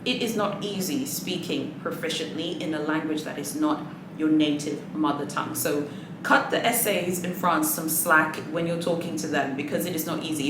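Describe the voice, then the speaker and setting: A woman whose first language is Swahili, casually chatting to her viewers in a sassy, rambling manner with many asides. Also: fairly low pitch. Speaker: luxury handbag vlogger. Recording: echoey room with background noise.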